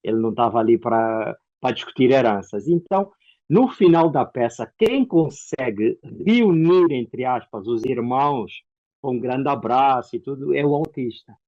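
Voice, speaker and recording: A man from Angola with an Angolan Portuguese accent, an actor and playwright answering interview questions in a lively storytelling way. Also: mid-range voice, normal speed, dull audio. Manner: animated storyteller, acts out quoted dialogue.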